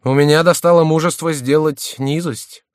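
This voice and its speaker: A man's voice: on the high side, steady tone, normal speed, dry recording, studio quality, pitched above the performer's own voice, natural voice. A man voicing an outraged, battered young nobleman, a king's favourite, indignant and theatrical, in an audiobook. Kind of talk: audiobook character voice.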